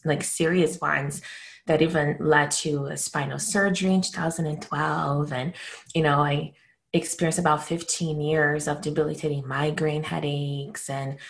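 A woman in her thirties from Brazil, a native Portuguese speaker, sharing her story casually and reflectively as an interview guest. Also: sits low. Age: 30s.

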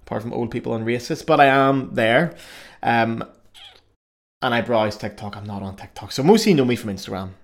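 A man's voice: medium pitch, brisk, vocal fry. Chatty Belfast man hosting a podcast. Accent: Belfast Northern Irish. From Northern Ireland. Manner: chatty and self-mocking, with frequent fillers. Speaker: podcast host. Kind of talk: casual solo podcast monologue.

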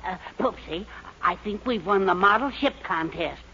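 An actor playing an excited, doting, slightly fussy husband in a 1940s radio sitcom. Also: male voice, high-pitched, moderate pace, full character voice. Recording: muffled, hissy 1940s radio recording.

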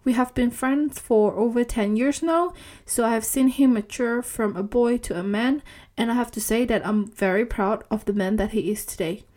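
Higher-voiced woman hosting a podcast. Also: moderate pace, a little mellow. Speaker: podcast host. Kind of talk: podcast interview, prepared introduction and questions.

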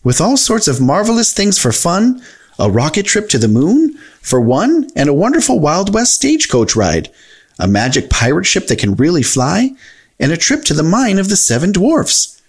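Man voicing a high, eager cartoon mouse. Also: huge pitch swings, normal speed, breathy edge, exaggerated voice. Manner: polite, eager, reassuring. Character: friendly cartoon mouse, polite, cheerful and eager. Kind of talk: children's storybook character voice.

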